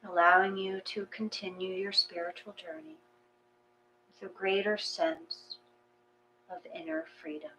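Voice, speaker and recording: A woman reading a guided meditation in a gentle, unhurried, soothing way, with pauses between phrases. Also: on the low side, steady tone, a little hiss or hum, rough recording.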